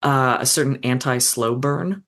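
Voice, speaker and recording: An author being interviewed, talking casually and reflectively about craft in a halting, thoughtful way with some slang and swearing. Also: mid-range voice, fairly bright tone.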